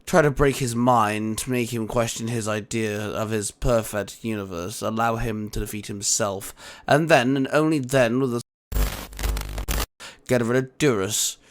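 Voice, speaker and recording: Man voicing a grave, measured mentor. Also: mid-range voice, fairly even intonation, natural voice. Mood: explanatory. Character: calm, grave male mentor guarding the multiverse. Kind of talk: dramatic audiobook character acting.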